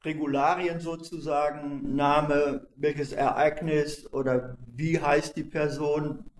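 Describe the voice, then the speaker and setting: An older man volunteering as an archivist, answering interview questions with many pauses and restarts. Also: fairly high pitch, level tone, a little hiss or hum. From not from Cologne. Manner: hesitant, many fillers and restarts. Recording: echoey room.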